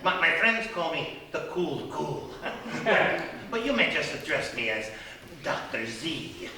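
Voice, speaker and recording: An actor playing a sinister, courtly mad doctor with theatrical stammers, in a live horror radio spoof. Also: male voice, on the high side, big pitch swings, slow, a crowd in the background. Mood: suavely sinister. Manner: theatrical, hesitant stammers and slips. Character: gleefully sinister male mad doctor, courtly castle host. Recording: roomy theatre stage, distant mic, noisy.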